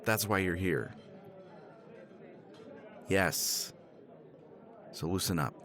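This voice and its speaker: A man's voice: low-pitched, animated, extremely slow, fairly bright tone, a faint crowd, heavily acted. A man playing a tipsy middle-aged professor in a romance roleplay, rambling with chuckles and casual swearing. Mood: persuasive. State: tipsy from scotch. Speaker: roleplay character.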